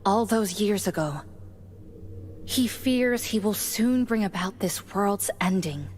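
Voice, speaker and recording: An actress voicing a solemn, gentle mystic warrior, measured and earnest, in a dramatic game style. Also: female voice, mid-range voice, expressive, soft background music. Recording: long reverberant hall, faint ambient noise.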